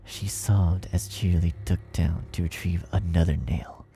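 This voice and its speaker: Low, gravelly male horror story narrator. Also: steady tone, measured pace, warm tone. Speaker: narrator, fan fiction reader. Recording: music behind the narration. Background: music.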